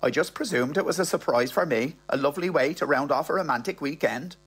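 A man's voice: a little high, quick pace, a bit bright, husky, soft background music, pitched above the performer's own voice. A man voicing a brazen, scheming woman with a matter-of-fact manner in a comic audiobook story. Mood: breezy, brazen. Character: brazen, scheming working-class woman, sweet-talking shoplifter.

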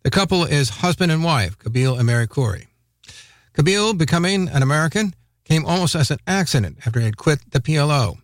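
A man's voice: fairly high pitch, fairly quick, no room sound, clean studio sound. Man introducing a radio news segment. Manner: even, formal announcing. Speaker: radio host.

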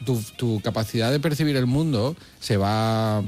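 A man's voice: mid-range voice, normal speed, darkish tone, soft background music. A man in his fifties with an accent from Spain, co-hosting a talk show in casual, grumbling comic banter, ranting and repeating himself for emphasis. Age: 50s. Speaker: comedy talk show co-host.

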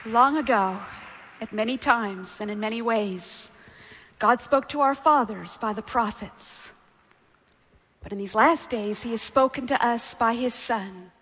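A woman's voice: on the high side, unhurried, fairly bright tone, husky, in a large hall, rough recording. A woman Bible teacher giving sermon-style teaching and reading scripture aloud earnestly.

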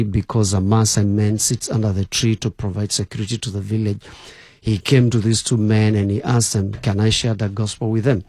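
A man's voice: fairly low pitch, a little mellow, no echo, clean studio sound. A man from Kenya, a bishop guest on a radio show, telling his life story with many fillers and restarts.

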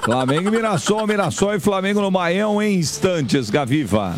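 High-pitched Brazilian man, emphatic sports host. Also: sing-song, normal speed, noisy background. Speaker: football radio show host. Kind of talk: opinionated sports commentary and chat. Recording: music bed under broadcast voice. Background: music.

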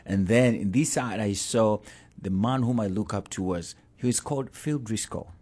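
A middle-aged pastor with a Ugandan accent, speaking in an earnest, repetitive way as an interview guest. His voice sits low and sounds husky. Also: normal speed, darkish tone. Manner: earnest, repeats himself.

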